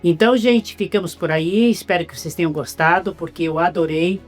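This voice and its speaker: A man with a Brazilian Portuguese accent, hosting a relaxed interview, joking playfully and often tripping over his words. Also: sits high, a little fast, soft background music. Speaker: interviewer host.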